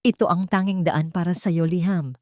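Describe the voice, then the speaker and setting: A woman's voice: on the low side, steady tone, moderate pace, darkish tone, dry recording, studio quality, lightly acted. A woman with a Filipino accent voicing a cold, brooding rich man in a romance story, blunt and businesslike. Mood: firm, merciless.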